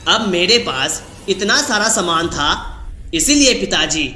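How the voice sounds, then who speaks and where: A man's voice: sits high, animated, a little slow, bright, forceful tone, reverberant, a little hiss or hum, full character voice. A man voicing a greedy, lying young prince in a cartoon story. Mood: feigned innocence.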